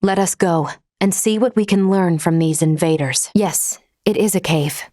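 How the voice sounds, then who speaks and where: A woman's voice: lowish voice, a little mellow, no echo, close to an everyday voice. Woman voicing a composed, precise female android, dry sound. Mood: calm, explanatory. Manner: formal, precise, measured, unemotional. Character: composed, logical female android ship's officer. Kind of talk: video game voice acting.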